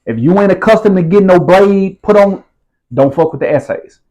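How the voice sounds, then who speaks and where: Streetwise man with a raised pitch. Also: a little fast, darkish tone, rough recording. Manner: streetwise slang, repeats "you feel what I'm saying". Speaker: interview guest. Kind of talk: casual storytelling interview.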